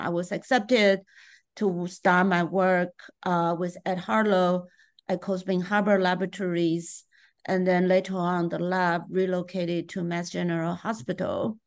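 Middle-aged woman from Taiwan, scientist guest on a video call, slow and measured, reflective. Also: lowish voice. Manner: measured, thoughtful with frequent ums. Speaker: neuroscientist guest. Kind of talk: interview over video call. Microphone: video call webcam.

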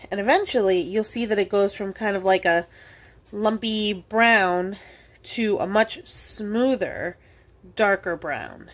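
Lady doing a cooking how-to in her kitchen, chatty explainer, mid-pitched voice, talks while she works. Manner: casual, explanatory, talking while working with hands. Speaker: cooking demonstrator, host of a library cooking show. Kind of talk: informal step-by-step cooking tutorial. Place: indoors at a kitchen counter.

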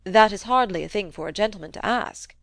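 A woman voicing a sulky young gentleman who answers in a stiffly polite, subdued way. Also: mid-range voice, a little fast, no room sound, natural voice.

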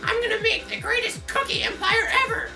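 Very shrill, boastful cartoon bird villain. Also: male voice, fairly even intonation, a little fast, creaky, reverberant, music in the background. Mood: menacing, boastful. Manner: grandiose, menacing declarations. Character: boastful, murderous cartoon bird racer obsessed with cookies. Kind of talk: comedic cartoon fandub.

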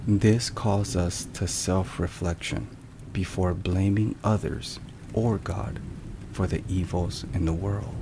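A man with a low voice narrates in a solemn, scripted ASMR style. He speaks very slowly and with measured care. Rain and thunder are clearly heard behind him. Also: fairly even intonation, noisy background. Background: thunderstorm and rain sounds.

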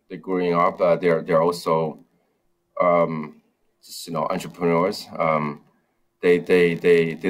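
A man, a startup founder on a video call, talking hesitantly with many fillers and restarts. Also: deep voice. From China. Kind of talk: interview over video call. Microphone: video call, webcam.

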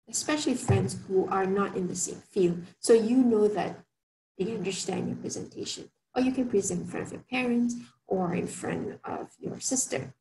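A soft-voiced woman from Malaysia giving a workshop talk over a video call, chatty, with many fillers and restarts. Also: medium pitch, slight background noise, poor audio. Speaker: workshop speaker. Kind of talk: online presentation talk.

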